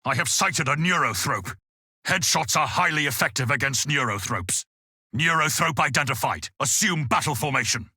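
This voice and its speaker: An actor playing a cold, analytical space marine giving precise, formal reports in a video game. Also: male voice, a little high, lively intonation, normal speed, long pauses, sharp tone, breathy edge, no echo, studio quality, exaggerated voice. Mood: alert, precise. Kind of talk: video game combat voice lines.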